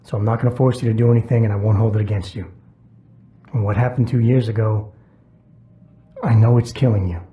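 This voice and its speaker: Game lead, male actor: gruff augmented agent, low pitch, terse, dry and sardonic. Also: fairly even intonation, fairly quick, long pauses, lo-fi sound, lightly acted. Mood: firm, controlled. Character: stoic, gruff cybernetically augmented male security operative, sardonic. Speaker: video game character. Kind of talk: cinematic game dialogue.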